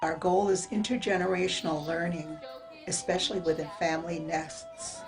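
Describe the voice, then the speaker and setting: A woman narrating and speaking in earnest, thoughtful terms for a documentary, with pauses. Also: fairly low pitch, steady tone, soft background music. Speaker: narrator for a language nonprofit.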